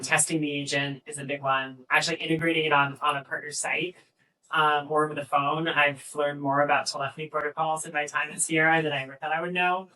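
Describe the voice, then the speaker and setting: A man with a bright, forward voice pitched a little high, recorded from a distance at a live event. He speaks as a panelist, explaining things in a halting, conversational way. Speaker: panelist, AI agent engineer. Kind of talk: fireside chat answers. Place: live fireside chat event. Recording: distant mic, poor event audio.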